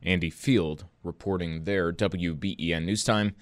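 A man with an American accent anchors a radio newscast in a fairly low voice. He reads scripted news copy in a studio, with a close, dry sound. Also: fairly even intonation, unhurried, dry recording.

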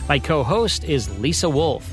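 A man co-hosting a radio program about old radio shows, reading a measured script. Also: on the high side, big pitch swings, faint music behind. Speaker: radio show co-host. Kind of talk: scripted radio history narration.

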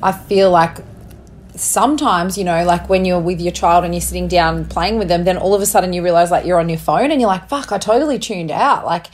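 A woman's voice: fairly low pitch, a little fast, slight background noise. A young woman in casual conversation with her partner, rambling with lots of fillers and the odd swear. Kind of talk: casual couple chat.

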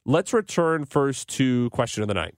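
A man hosting a live sports radio show, talking through soccer in a measured, analytical, articulate way. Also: medium pitch, very animated, no echo, clean studio sound.

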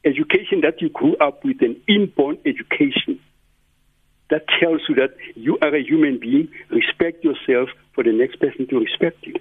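Earnest male radio pundit, husky, dry, phone-like sound. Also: on the high side, moderate pace, no room sound. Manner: earnest, preaching tone. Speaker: studio guest commentator. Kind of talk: radio talk commentary. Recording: tinny phone-like line.

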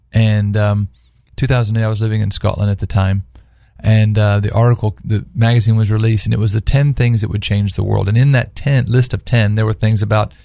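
A man's voice: fairly low pitch, gravelly, dark tone, dry recording, clean studio sound. A man speaking as a guest on a radio talk panel, earnestly telling stories and posing rhetorical questions in conversational religious discussion.

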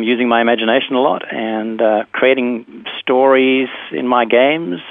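A middle-aged man from South Africa, a teacher and filmmaker, telling his story thoughtfully with many pauses and fillers over the phone from a school room. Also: mid-range voice, dry recording. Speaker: interview guest, teacher and filmmaker. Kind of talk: phone interview, casual storytelling. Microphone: telephone. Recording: tinny dry phone line.

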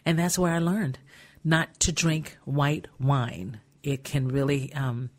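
A woman singer telling stories in a casual chat, lively and expressive. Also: very deep voice, takes it slowly. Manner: animated storyteller. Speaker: singer and co-host.

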